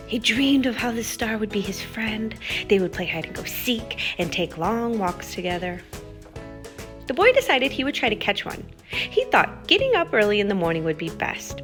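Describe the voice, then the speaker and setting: Female kids' educator, mid-pitched, simple guiding delivery, lesson and read-aloud style, music underneath. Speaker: children's STEM teacher and presenter.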